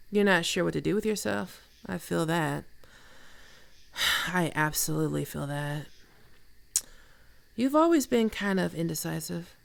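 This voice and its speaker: Woman acting a very creaky, teasing flirt. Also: deep voice, no echo, exaggerated voice. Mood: wry, sympathetic. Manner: casual, teasing, unhurried. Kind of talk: intimate ASMR roleplay acting.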